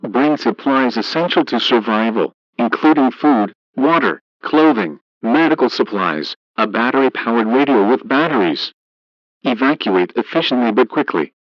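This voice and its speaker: Male emergency broadcast announcer, mid-pitched, formal, flat scripted read with a synthetic edge, dull radio sound. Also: moderate pace, no echo.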